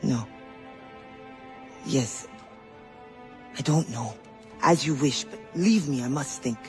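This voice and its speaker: An actor playing a proud, brooding spymaster in a video game, with a dramatic, imperious manner. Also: male voice, medium pitch, slow pace, darkish tone, soft background music, close to an everyday voice. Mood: agitated. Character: proud, brooding French-speaking spymaster, easily agitated.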